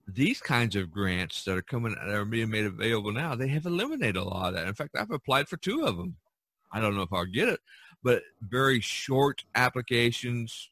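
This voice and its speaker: Man, podcast host, bright forceful tone, halting rambling talk, close dry sound. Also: on the low side, no room sound. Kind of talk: casual podcast discussion.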